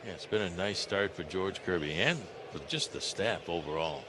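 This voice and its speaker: A man's voice: low-pitched, normal speed. A man giving conversational color commentary during a baseball radio broadcast. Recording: steady background ballpark noise.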